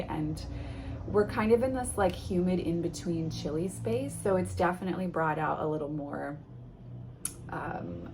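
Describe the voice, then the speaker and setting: A woman's voice: fairly low pitch, darkish tone, slight background noise. Chatty, sniffly woman vlogger. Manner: chatty, effusive, with restarts. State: sniffly from allergies. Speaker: tarot content creator. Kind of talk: casual chat to viewers. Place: home.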